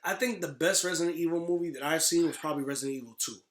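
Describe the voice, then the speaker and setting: A man's voice: fairly high pitch, racing. A man hosting a casual podcast chat, emphatic and full of slang, with some swearing. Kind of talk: casual podcast chat about movies and games. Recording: echoey, roomy podcast recording.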